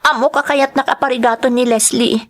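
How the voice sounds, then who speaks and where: A woman's voice: high-pitched, fairly even intonation, brisk, lightly acted. A woman playing a worried female kitchen worker who pleads anxiously with a friend. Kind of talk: audio drama acting.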